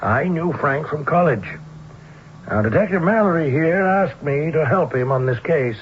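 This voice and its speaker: A man plays a learned gentleman detective in an old radio mystery, wry and sure of himself. His voice sits fairly high for a man, with courteous, cultivated phrasing. The broadcast is muffled, with a layer of hiss. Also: expressive, moderate pace, lightly acted. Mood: probing, courteous. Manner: erudite, wry, self-assured, courteous. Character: urbane, dry-witted older scholar-sleuth, a doctor. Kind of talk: vintage radio mystery acting.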